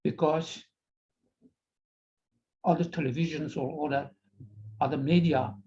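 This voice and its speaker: An older man from Iran, a Farsi speaker with a Persian accent, addressing viewers in an earnest, halting way over a video call. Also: high voice, dark tone. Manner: slow, halting and earnest, with frequent pauses and repeated words. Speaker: TV presenter. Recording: slightly dull video call audio.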